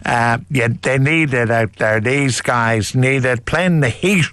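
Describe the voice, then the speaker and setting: A man's voice: mid-range voice, takes it slowly, fairly bright tone, no echo. A man with an Irish accent hosting a radio talk show, blunt and passionate as he interviews and comments. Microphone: studio microphone.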